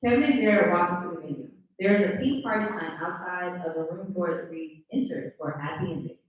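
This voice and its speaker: A woman's voice: fairly low pitch, brisk. A woman reading scripted stage directions as narrator at a live table read. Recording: very echoey room, distant dull mic.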